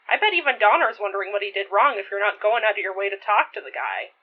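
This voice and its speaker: A woman's voice: mid-range voice, animated, quick pace, lightly acted. A woman playing a teasing, caring young friend in a naturalistic audio drama, casual and wry in manner. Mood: nudging, teasing. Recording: thin, phone-like narrow band.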